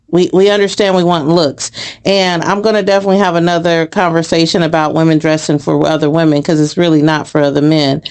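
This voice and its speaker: A woman's voice: fairly low pitch, darkish tone, clear, resonant tone. A woman in casual, opinionated conversation, reflecting as she goes and feeling for the right phrase.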